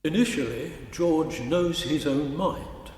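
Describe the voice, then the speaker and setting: A man giving measured, literary scripted narration for a musical cantata. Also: on the high side, darkish tone. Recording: echoey hall, distant from the mic.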